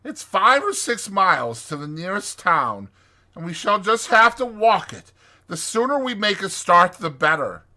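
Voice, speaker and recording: A man voicing a bossy, exasperated male water rat for a storybook, speaking in a firm, sharp, emphatic way. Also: a little high, wildly swooping pitch, a little slow, hardly any pauses, slightly rough, voice pushed up, full character voice. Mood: grim, irritated.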